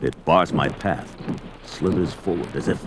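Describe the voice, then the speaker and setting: An actor playing a gruff, domineering barbarian hero, bold and commanding, declaiming in archaic 1970s fantasy audio drama style. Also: male voice, a bass voice, measured pace, very noisy. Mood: alarmed, battle-ready. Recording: music behind the voice.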